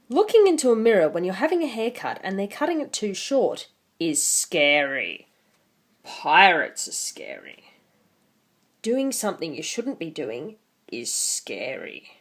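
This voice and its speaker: A woman in her twenties hosting an online video, talking casually and reading a picture book aloud with theatrical emphasis. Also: low-pitched. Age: 20s.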